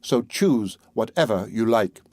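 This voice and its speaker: A man voicing a kindly little dwarf in a fairy tale, speaking in a measured, benevolent way. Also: lowish voice, animated, a little mellow, no room sound. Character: kindly little male dwarf granting magic wishes. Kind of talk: fairy tale audiobook character voice.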